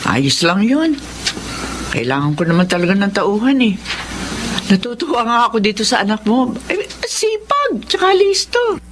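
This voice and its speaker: A woman's voice: fairly high pitch, sing-song, normal speed. An actress playing a frank, motherly middle-aged shop owner in a Filipino radio drama, chatty and admonishing. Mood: chatty, cautioning. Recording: slightly noisy radio drama recording.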